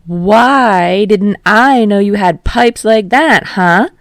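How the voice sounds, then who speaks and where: A woman doing a boisterous male rock star who booms every line. He sounds high and shouty, full of teasing swagger. The recording is close and dry. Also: slow, no room sound, close to an everyday voice. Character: loud brash older male rock star.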